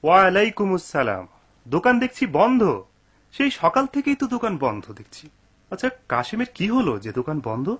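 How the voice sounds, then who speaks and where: An actor playing a curious, puzzled townsman in a cartoon, with a husky voice. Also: high voice, wildly swooping pitch, brisk, a bit bright, exaggerated voice. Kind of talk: cartoon dub acting.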